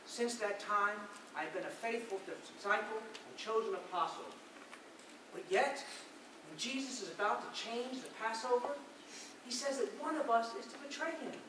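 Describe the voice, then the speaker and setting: A man playing an earnest, devout fisherman disciple in a stage monologue, declaiming his story in a measured way. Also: extremely high pitch. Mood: reverent, then troubled. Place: large reverberant indoor hall, recorded from afar. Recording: very echoey hall, distant mic, noisy.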